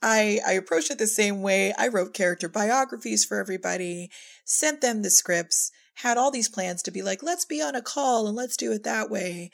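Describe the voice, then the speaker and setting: A woman's voice: medium pitch, normal speed, vocal fry. A woman chatting casually in a conversational interview, talking through her own experience as a director. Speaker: audio drama director and podcast guest.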